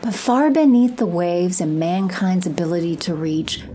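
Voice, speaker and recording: Female narrator for audio drama: scripted read, lowish pitch, clear ambience behind. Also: expressive, normal speed, mellow, slight background noise.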